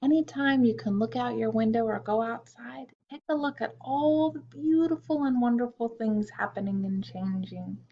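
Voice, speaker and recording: A woman reading a children's picture-book poem aloud in a slow, gentle storytime manner with soft hushed asides. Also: a little high, dull audio. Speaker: storytime reader.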